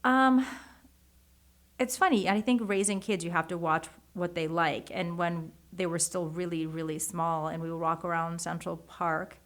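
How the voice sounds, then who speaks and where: A woman in her forties whose first language is Japanese, talking as an author in a casual interview, animated and often restarting her sentences. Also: lowish voice, normal speed. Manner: animated, many restarts and self-corrections. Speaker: guest, author.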